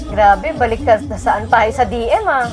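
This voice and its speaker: A woman's voice: on the high side, moderate pace. A Filipino woman casually chatting and reacting as a fan commentator. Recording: loud music and crowd behind. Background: concert music and cheering crowd.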